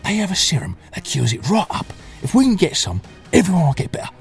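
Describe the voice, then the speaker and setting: An actor playing an eager young man who rushes his news out with a stumbling start. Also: high voice, huge pitch swings, vocal fry, faint music behind, voice pushed up, full character voice. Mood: urgent.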